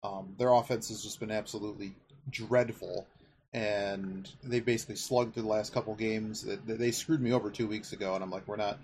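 A man's voice: lowish voice, steady tone, brisk, a little mellow. Man chatting over noticeable background noise. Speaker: podcast host. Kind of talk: casual sports betting chat.

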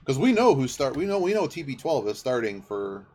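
A man's voice: mid-range voice, sing-song, fairly quick, a little mellow. A man hosting a sports podcast, giving casual off-the-cuff football commentary and thinking aloud in a rambling way. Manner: rambling, thinking aloud, checking facts mid-sentence.

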